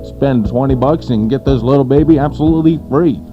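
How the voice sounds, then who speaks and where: A man playing a cocky, smooth-talking cigar salesman in a radio commercial sketch. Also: mid-range voice, brisk, close to an everyday voice. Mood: cocky, slick. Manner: cocky, slick. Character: smooth wisecracking cigar-selling tough guy. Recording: dull band-limited radio recording with music.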